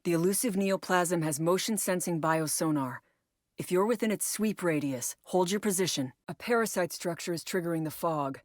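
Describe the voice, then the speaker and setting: A woman playing a composed female operations agent in a video game, briefing a squad in a measured, matter-of-fact way. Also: lowish voice, dry recording, studio quality, natural voice. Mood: focused, instructive. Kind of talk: scripted video game voice lines.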